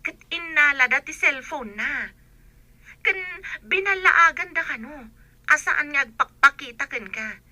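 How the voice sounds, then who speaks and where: A woman's voice: sits high, animated, unhurried, pauses often, bright, forceful tone, dry recording, full character voice. An actress playing a forthright godmother and confidante, advising and gossiping insistently in a radio drama. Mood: gossipy, suspicious. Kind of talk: Ilocano comedy-drama radio acting.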